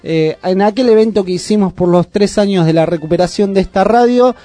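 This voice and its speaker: A man with an Argentine accent hosting a casual community radio show, chatty and full of "eh" pauses. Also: high-pitched, dark tone, dry recording, faint music behind. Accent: Argentine Spanish. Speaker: radio host. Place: radio studio.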